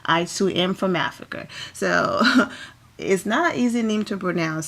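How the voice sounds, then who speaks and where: A woman's voice: fairly low pitch, fairly bright tone. A woman from Liberia talking through red-carpet fashion in a chatty, enthusiastic, home-recorded commentary. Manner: chatty, enthusiastic, apologetic asides. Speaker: fashion vlogger. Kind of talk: casual commentary.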